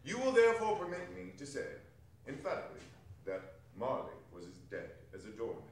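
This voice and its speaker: Male dramatic reader, slightly high pitch, lively and emphatic, picked up from across a hall. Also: fairly quick, creaky, slight background noise. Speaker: stage reader in a theatre company. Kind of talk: theatrical dramatic reading of a classic story. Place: arts center performance space, distant camera. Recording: very echoey hall, distant microphone.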